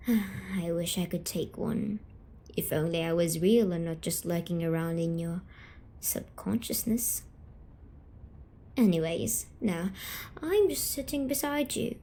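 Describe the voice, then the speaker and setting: A woman playing a gentle, teasing sleep paralysis demon, telling stories softly and soothingly in an ASMR roleplay. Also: medium pitch. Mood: wistful, teasing. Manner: slow, soft, deliberate, comforting.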